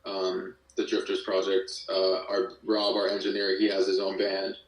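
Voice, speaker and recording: Lowish, even-voiced man in an echoey room. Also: normal speed. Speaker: podcast guest, musician and audio drama creator. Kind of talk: casual interview chat. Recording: echoey room, distant from mic.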